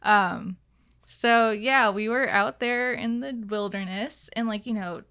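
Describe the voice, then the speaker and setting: A woman's voice: fairly high pitch, slow pace, pushed and bright, clean tone. A young woman telling stories casually as a podcast guest, in a giggly manner. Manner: giggly, laughs through her words. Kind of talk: casual chat, storytelling.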